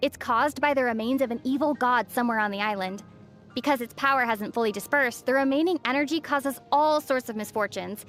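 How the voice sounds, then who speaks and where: An actress playing a calm, sensible, gently teasing young traveler heroine in a video game. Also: female voice, a little high, a little fast, soft background music, lightly acted. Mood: calm, explanatory. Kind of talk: scripted video game dialogue.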